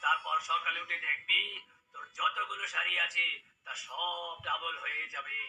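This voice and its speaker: A man's voice: a little high, fairly even intonation, normal speed, bright, forceful tone, distant mic, poor audio. A man voicing a domineering, sly fake holy man in a cartoon, commanding and pompous. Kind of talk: cartoon character dialogue.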